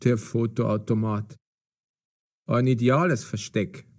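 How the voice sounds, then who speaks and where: A man playing a gruff, unfriendly, ironic raftsman with a curt manner in an audio drama. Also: medium pitch, fairly even intonation, a little mellow. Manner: sardonic, curt, hesitant pauses.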